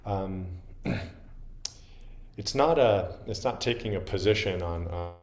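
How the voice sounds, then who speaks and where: A man giving an informal dharma talk as a Zen teacher, halting and reflective, with frequent pauses. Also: deep voice. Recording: roomy echo with faint background noise.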